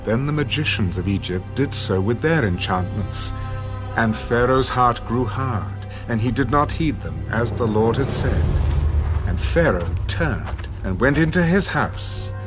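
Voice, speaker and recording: A man narrating a dramatised Bible reading in a solemn, measured storytelling way. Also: medium pitch, expressive. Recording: prominent music under the voice. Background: loud background music.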